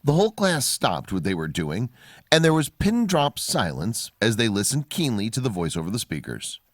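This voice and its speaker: A man reading scripted narration of a human-interest story in a measured storytelling manner. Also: lowish voice, sing-song, no room sound.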